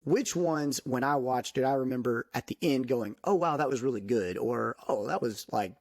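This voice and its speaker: A man's voice: mid-range voice, moderate pace, dry recording, clean studio sound. A young man hosting a casual podcast chat, rambling with lots of fillers and restarts. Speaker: podcast host.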